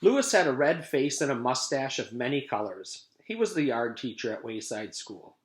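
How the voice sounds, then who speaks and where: A man, a teacher, reading a chapter book aloud to his class in an expressive read-aloud manner. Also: mid-range voice, normal speed. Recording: echoey room.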